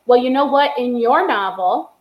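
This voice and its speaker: A woman's voice: a little high, animated, moderate pace, roomy sound, low-quality recording. A woman, a writer, giving blunt, emphatic advice in casual chat. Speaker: podcast guest, writer.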